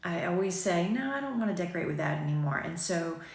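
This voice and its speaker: A woman in her forties, a little raspy from just waking up, chatting casually and rambling to viewers over morning tea, filmed on a phone at arm's length in her home kitchen. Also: fairly low pitch, animated, fast. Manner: chatty, rambling, self-deprecating, with frequent ums. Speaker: boutique owner vlogging. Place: sunny home kitchen, indoors. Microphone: phone camera at arm's length. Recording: echoey kitchen room.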